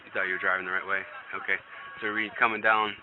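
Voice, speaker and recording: A man's voice: low voice, level tone, fast talker. An American man, a police officer, questions a driver at the roadside, persistently repeating answers back as questions. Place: outdoors at a roadside at night. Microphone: body-worn camera. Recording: tinny bodycam audio with street noise.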